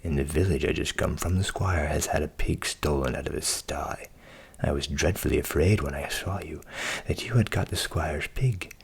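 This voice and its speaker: A man's voice: a bass voice, moderate pace, creaky, slightly rough, pitched below the performer's own voice, full character voice. A man voicing a grave, canny countryman in a storybook, solemn and warning.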